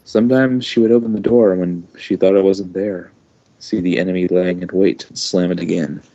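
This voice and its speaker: A man reading a first-person story aloud informally, halting now and then with loose asides. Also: deep voice, steady tone, dark tone. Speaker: amateur fan fiction reader. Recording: dull lo-fi home recording.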